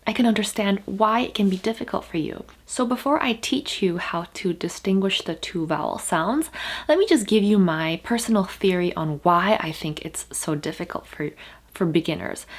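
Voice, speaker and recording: A woman's voice: medium pitch, moderate pace. Woman teaching pronunciation to camera. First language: Korean. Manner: explains patiently, with many fillers and restarts; draws out vowel sounds as demonstrations. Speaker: Korean language teacher. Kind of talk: casual pronunciation lesson to camera.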